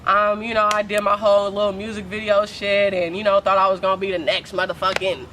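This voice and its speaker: Slangy, candid young woman with a bright voice. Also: little pitch movement, fast talker, a little hiss or hum. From Oakland, California. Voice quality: deep voice for a woman. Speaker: poet guest. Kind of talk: casual interview.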